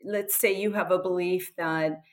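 A woman's voice: lowish voice, steady tone, normal speed. American-accented woman explaining earnestly. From Switzerland and USA. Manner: earnest, explanatory, occasional laughs. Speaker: guest, nonprofit executive director. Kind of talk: podcast interview answers.

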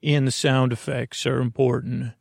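A man hosting a bedtime podcast in a creaky, soothing voice, meandering haltingly through digressive monologue meant to lull listeners to sleep. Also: mid-range voice, level tone, darkish tone, no echo, studio quality. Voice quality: creaky, gravelly. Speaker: podcast host.

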